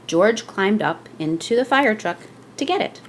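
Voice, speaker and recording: A woman reading a children's picture book aloud as scripted narration, with expressive storytelling delivery. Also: mid-range voice, measured pace, a little hiss or hum.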